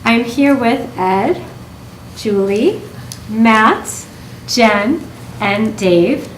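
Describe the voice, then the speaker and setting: A woman's voice: on the high side, expressive, very slow. A woman speaks as the host of a writers' critique show. She addresses listeners in an explanatory way. The room is echoey, with faint noise behind her. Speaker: podcast host. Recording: roomy echo with noise behind.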